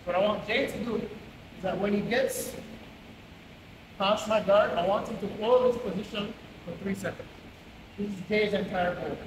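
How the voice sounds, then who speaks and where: A man's voice: high-pitched, normal speed, pauses often, far from the mic. A man coaching a jiu-jitsu class, explaining positions step by step in a large indoor gym. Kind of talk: instructing a class. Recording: echoey gym with background noise.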